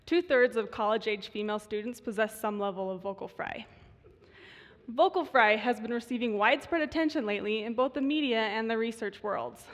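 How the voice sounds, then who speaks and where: Young female speaker with vocal fry, slightly high-pitched, stage talk in a reverberant hall. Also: fairly quick. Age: 20s. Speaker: student voice researcher giving a talk. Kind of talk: prepared short stage talk.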